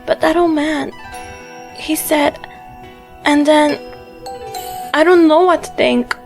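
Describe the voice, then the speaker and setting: A woman acts a young witch girl in an audio drama. Her voice is high and she speaks slowly, with blunt, guarded feistiness and hesitant pauses. Quiet music plays underneath. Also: steady tone, gravelly, full character voice. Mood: doubtful, sad. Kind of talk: fan audio drama acting.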